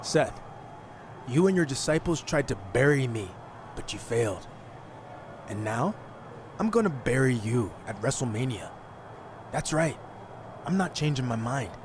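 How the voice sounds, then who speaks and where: An actor playing a defiant, cocky wrestling hero bent on revenge, giving a taunting, confident promo in a video game cutscene. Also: male voice, medium pitch, sing-song, moderate pace, pauses often, noisy background, close to an everyday voice.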